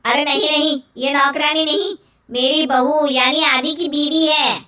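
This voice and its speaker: A woman voicing a doting, protective middle-aged village mother-in-law in an animated moral story, speaking emphatically. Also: high-pitched, unhurried, pitched above the performer's own voice. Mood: emphatic. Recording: roomy echo, distant from mic.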